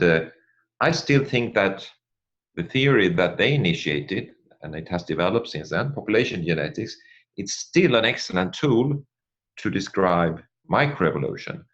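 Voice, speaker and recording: Low-voiced Swedish man, halting academic delivery. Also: a bit bright, highs cut off. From Sweden. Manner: hesitant, halting delivery with repeated words. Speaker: academic guest speaker, population geneticist. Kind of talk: academic presentation.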